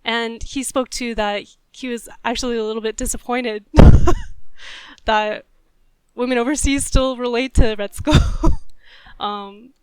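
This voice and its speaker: A woman's voice: on the high side, steady tone, a little slow, a little mellow, clear, resonant tone, no echo. A woman co-hosting a podcast, talking through a topic in a casual, analytical and thoughtful way. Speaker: podcast host.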